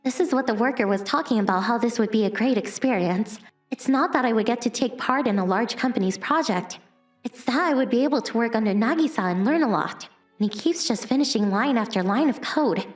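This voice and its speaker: A woman's voice: on the high side, expressive, a little fast, faint music behind, lightly acted. A woman playing a shy, earnest young step-sister in an anime-style manga dub, speaking in a hesitant, meek way. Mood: quietly awed.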